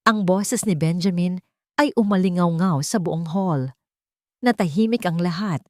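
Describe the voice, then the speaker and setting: A woman narrating a romance drama in a measured, dramatic storytelling style. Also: on the low side, darkish tone, no room sound, clean studio sound. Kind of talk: scripted audiobook narration.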